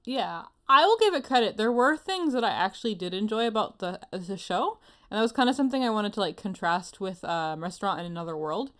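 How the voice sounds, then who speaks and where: A woman's voice: mid-range voice, lively intonation, brisk. A woman co-hosting a podcast in casual chat, with a giggly, chatty manner. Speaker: podcast co-host.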